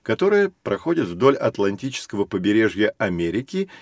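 An actor playing a friendly professor in an educational audio drama, explaining things expressively to children. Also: male voice, lowish voice, sing-song, fairly quick, no room sound, studio quality. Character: friendly male professor guiding children through geography.

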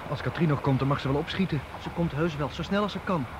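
A man's voice: fairly high pitch, fast talker, heavy background noise, natural voice. An actor playing a determined, pragmatic male activist with nerves, speaking matter-of-factly in a naturalistic radio drama. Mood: tense, determined.

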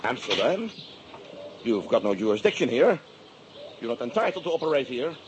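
An actor playing a guarded, officious English clinic director in a radio drama. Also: male voice, mid-range voice, big pitch swings, moderate pace, lightly acted. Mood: guarded, curt. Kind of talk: Dutch radio drama acting. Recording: dull, noisy radio play recording.

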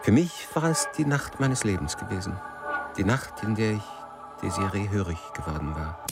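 A man's voice: fairly low pitch, lively intonation, normal speed, creaky, loud music behind the voice. An actor playing a jealous, besotted middle-aged man on his honeymoon in a radio drama, reproachful and dryly sarcastic. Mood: brooding narration.

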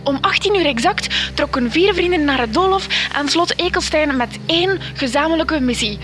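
A woman's voice: sits high, animated, normal speed, music in the background. An actress playing an earnest, self-important young woman who narrates her audio diary in a solemn, formal way in a radio comedy drama.

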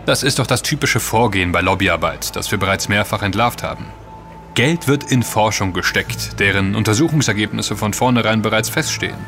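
A man playing the composed leader of an investigative team in a German audio drama, speaking in a measured, matter-of-fact way. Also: fairly low pitch, fairly bright tone, soft background music. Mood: serious, decisive.

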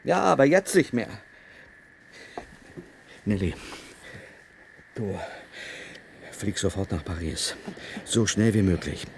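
A secretive, fearful husband in a radio drama. His low voice is grave and urgent, giving orders dramatically, with faint noise and ambience behind it. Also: very animated, takes it slowly, gravelly, long pauses, exaggerated voice. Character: anxious, secretive husband in hiding, urgent and commanding. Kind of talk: German radio play acting.

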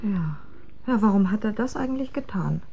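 A detective's sensible secretary played by a woman speaks in a warm, relaxed voice of medium pitch. She is composed and teasing in a radio crime drama, with faint noise behind her. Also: normal speed, highs cut off, lightly acted. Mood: skeptical.

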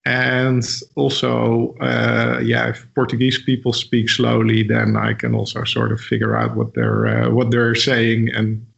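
Gravelly Dutch man, halting speech. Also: medium pitch, fairly bright tone. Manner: hesitant, many fillers and restarts. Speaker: interview guest. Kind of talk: casual interview conversation. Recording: dull lo-fi audio.

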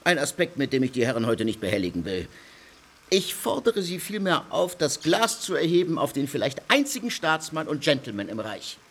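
A man's voice: on the high side, sing-song, a little fast, fairly bright tone, heavily acted. An actor playing a pompous male political theorist, who lectures a circle of dignitaries in a declamatory way. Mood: lecturing. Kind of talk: radio play acting.

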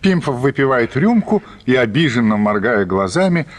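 A man's voice: medium pitch, big pitch swings, normal speed. A man giving a dramatic scripted narration of a literary story in a radio play.

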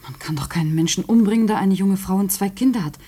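An actress playing an anxious, devoted young wife in a German radio play, soothing and questioning. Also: female voice, medium pitch, fast talker, warm tone, slightly rough, natural voice. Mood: puzzled, reassuring.

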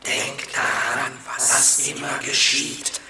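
A man's voice: medium pitch, level tone, slow, lightly acted. A man playing a sinister disembodied voice in a German radio play, chanting ominous slogan-like commands. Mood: menacing. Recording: very echoey, distant, heavy noise, clicks.

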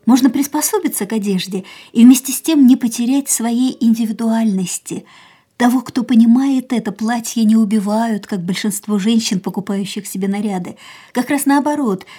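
An actress playing an ailing, proud and wistful young woman, reflecting in a measured, theatrical way in a radio drama. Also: on the high side, warm tone, slightly rough. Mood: musing, assured. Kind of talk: radio play dialogue and inner monologue.

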